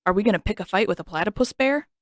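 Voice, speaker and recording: A woman's voice: medium pitch, lively intonation, very fast, no echo, clean studio sound. A woman voicing a sarcastic, bossy teenage boy in a read-aloud fan story, blunt and teasing.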